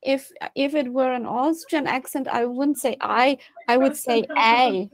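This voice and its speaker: A woman's voice: high-pitched, unhurried. A woman in her forties with a Hungarian accent, a native Hungarian speaker, chatting casually on a video call from a small room at home, hesitant and restarting often. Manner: hesitant, restarting, curious, laughing lightly. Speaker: meeting moderator. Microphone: earphones with a cable mic on a video call.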